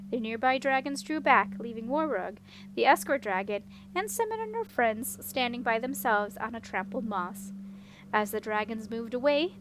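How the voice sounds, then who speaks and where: A woman's voice: on the high side, a bit bright, a little hiss or hum, rough recording. A woman reading a storybook aloud as scripted audiobook narration. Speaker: audiobook reader.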